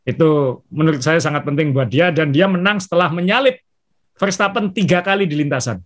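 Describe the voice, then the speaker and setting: A man co-hosting a casual podcast, giving a measured race analysis with frequent "eh" fillers. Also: on the high side, a bit bright. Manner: measured, fills pauses with "eh". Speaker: podcast co-host, racing analyst.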